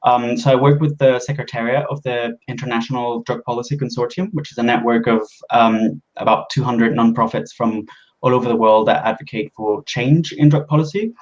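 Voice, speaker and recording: A man from Venezuela, introducing himself as a panelist in a measured way with frequent ums. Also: mid-range voice, fairly even intonation. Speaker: panelist, drug policy advocate. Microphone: video call. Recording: slightly dull lo-fi call audio.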